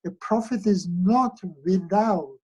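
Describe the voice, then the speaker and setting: A man leading a study group on a video call, talking slowly and hesitantly with many fillers and restarts. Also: sits high, dark tone, dull audio.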